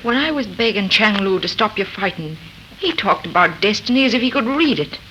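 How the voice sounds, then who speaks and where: An actress playing an anxious, loyal, principled frontier wife, emotional and pleading in an old radio melodrama. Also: female voice, mid-range voice, lively intonation, fairly quick, bright, forceful tone, lo-fi sound. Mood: uneasy. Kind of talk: 1930s radio melodrama acting. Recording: noisy 1930s radio recording.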